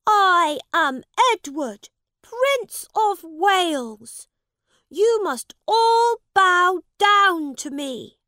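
A shrill young boy prince yells his orders in a slow, high voice that leaps all over the place, as a woman reading puts him on. He turns tearful and begging when no one believes him. The reading sounds close and dry. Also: expressive, no echo, full character voice. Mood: commanding. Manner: shouting, high-pitched, tearful at times. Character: proud young boy prince, commanding then pleading.

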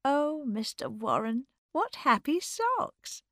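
A woman performs a grand society lady for a storybook reading. She is gushing, scornful and witty, drawing words out slowly with affected exclamations. The sound is dry. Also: sits high, sing-song, a bit bright, no echo, voice pushed up, heavily acted.

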